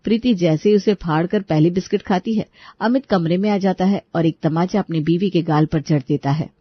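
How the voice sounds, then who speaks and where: A woman's voice: on the low side, brisk, slightly rough, lo-fi sound. Woman narrating a dramatic story.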